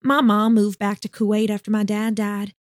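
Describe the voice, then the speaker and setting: A boisterous young woman with a honeyed Southern drawl, blunt and salty, sits high up in the voice, as a male narrator puts her on. The recording is close and dry, with no room sound. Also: flat delivery, moderate pace, dry recording, close to an everyday voice. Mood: casual.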